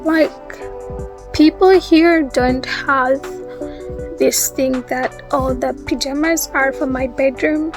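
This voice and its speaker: Young Ugandan woman, high-pitched, unhurried. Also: fairly even intonation, over music. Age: young adult. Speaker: YouTube vlogger. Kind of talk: casual talk to viewers.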